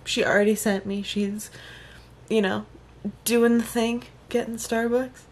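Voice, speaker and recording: A woman's voice: a little high, level tone, measured pace. A young woman in her twenties vlogging casually from her car and a bowling alley, tired and laughing at herself. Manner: tired, giggly, self-mocking. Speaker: vlog host. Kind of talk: casual vlog chat. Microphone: handheld phone camera. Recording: car noise, music and crowd behind.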